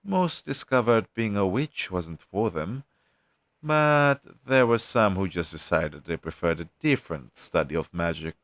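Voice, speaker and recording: A man voices a wistful crow demon in an audio roleplay, a former familiar down on his luck. He talks slowly in a low register, friendly and hesitant, speaking straight to the listener. The sound is dry and close. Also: animated, a bit bright, dry recording, full character voice. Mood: reminiscing. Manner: conversational, hesitant, with sighs and pauses.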